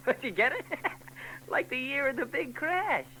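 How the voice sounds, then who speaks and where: An actor playing a giggling, stammering, underweight lush in a 1940s radio drama. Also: male voice, very high-pitched, lively intonation, a little slow, bright, forceful tone, a little hiss or hum, full character voice, low-quality recording. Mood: wheedling. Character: giggly scrawny barfly man, wheedling lush.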